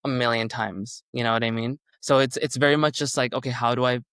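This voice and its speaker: A man's voice: medium pitch, fairly even intonation, fast, a bit bright, smooth, no echo. Chatty young man, dry close sound. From Canada. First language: Urdu. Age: young adult. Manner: rambling, many "like" and "you know" fillers. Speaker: singer-songwriter guest. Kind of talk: casual interview chat.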